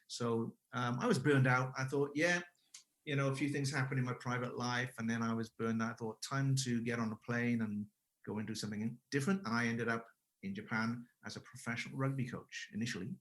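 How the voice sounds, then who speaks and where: A man's voice: medium pitch, steady tone, a little fast, a bit bright. A man in his forties from Wales, a guest telling his career story casually, with frequent restarts and self-corrections. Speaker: guest, English school owner.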